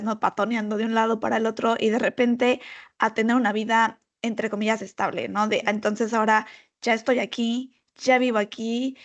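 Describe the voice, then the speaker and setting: A woman podcast host asking long, hesitant, rambling questions. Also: fairly high pitch. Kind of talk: interviewing.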